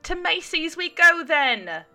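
Lady reading as a blunt pregnant woman in a story, excitable n teasing, bright crisp voice, music around. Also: very high-pitched, slow pace, pitched above the performer's own voice, exaggerated voice. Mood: playful. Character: pregnant woman, candid, bold and teasing, meeting former best friend.

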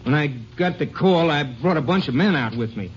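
A man's voice: on the high side, animated, fairly quick, close to an everyday voice. An actor playing a defensive local lawman in a 1950s radio drama, answering in a curt, matter-of-fact way. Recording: dull old radio recording with faint hiss.

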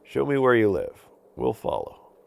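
A man's voice: medium pitch, wildly swooping pitch, measured pace, vocal fry, a little mellow, voice pushed up, heavily acted. A man as a confident, swooping storybook hero. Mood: helpful. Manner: confident, declarative. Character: brave, helpful, protective young hero with magic.

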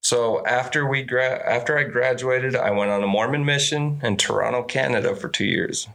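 Farmer guy in his 30s, from mexico, grew up in new mexico, kinda gravelly, upbeat and chill. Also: mid-range voice, moderate pace, a bit bright. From Mexico, raised in New Mexico. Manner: upbeat and matter-of-fact, playful asides. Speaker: farm grower, guest. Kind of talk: casual interview chat.

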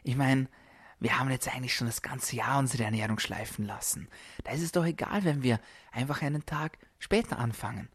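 A man's voice: mid-range voice, fairly quick, husky. A man voicing a coaxing inner tempter that makes lazy excuses, whispery at times, with music underneath. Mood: coaxing, dismissive.

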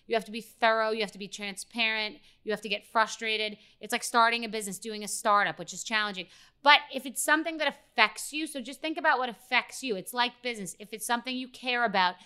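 A woman's voice: a little high, fast, bright, forceful tone, smooth. A woman hosting an interview, earnest and giving advice. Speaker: host. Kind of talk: interview and ad read.

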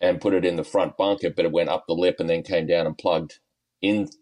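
Deep-voiced Australian man, easygoing. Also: steady tone, fairly quick, darkish tone. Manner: easygoing, lots of ums and uhs, chuckles often. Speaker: golf coach and guest. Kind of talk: podcast interview, relaxed storytelling.

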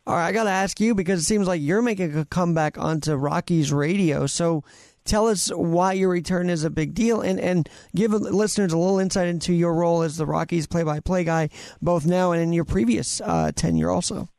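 Man, radio interviewer, high voice, conversational questions, dry close sound. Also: a little fast, darkish tone, no room sound. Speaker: radio show host interviewer.

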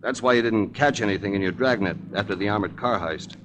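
A man acting as a hard-boiled American investigator in a vintage radio play, with a very low voice. He is shrewd, terse and sure of himself, and wisecracks coolly through a noisy old recording. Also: fast, natural voice. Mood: cool, deductive. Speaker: lead actor. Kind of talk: 1940s radio detective drama acting.

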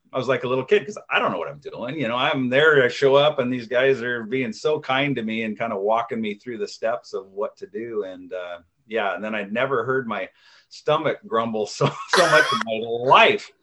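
A man's voice: on the low side, a bit bright. Rambling, modest man in his fifties. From United States. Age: 50s. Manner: rambling, self-deprecating, many fillers and restarts. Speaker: guest, author and video creator. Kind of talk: conversational interview answers.

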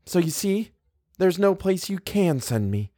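Man voicing a fragile, pleading young woman, pitched up. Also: very animated, moderate pace, no echo, studio quality. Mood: desperate, pleading. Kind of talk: audiobook character voice.

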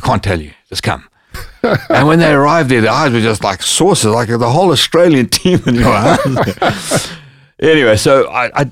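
Podcast guest, Zimbabwean man, low-ish voice, animated storyteller, dry room. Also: dry recording. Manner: animated anecdotes, lively quoted dialogue. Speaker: podcast guest, former bodyguard. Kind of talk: casual storytelling.

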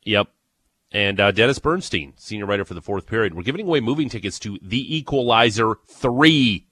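A man with an Ontario accent hosting a morning sports radio show, chatting and teasing with excitable energy. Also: on the low side, brisk, husky, no echo. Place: radio studio. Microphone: studio microphone.

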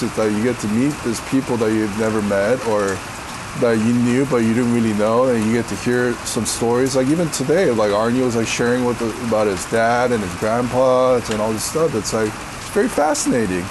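Deep-voiced man answering questions in the rain. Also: animated, brisk, noisy background. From Korea. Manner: rambling, filler-heavy, laughs easily. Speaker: interview guest. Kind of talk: casual interview answers.

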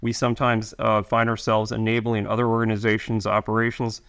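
Middle-aged male guest with a lowish voice; halting delivery full of restarts. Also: completely flat delivery, creaky, a bit bright, clear, resonant tone. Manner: halting, many restarts and fillers. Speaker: intelligence officer guest. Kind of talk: interview answers.

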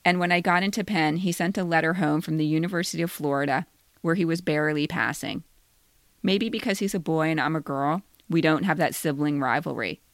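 A middle-aged American woman reading a scripted personal story in a measured way, in short plain sentences. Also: low-pitched, no room sound, clean studio sound. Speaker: podcast co-host reading their own essay.

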